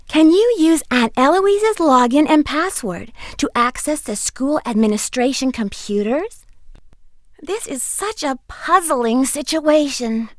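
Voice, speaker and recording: High-pitched, earnest helpful girl friend. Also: no echo, studio quality. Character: young female friend giving hints, fretful and encouraging. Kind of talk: video game voice acting.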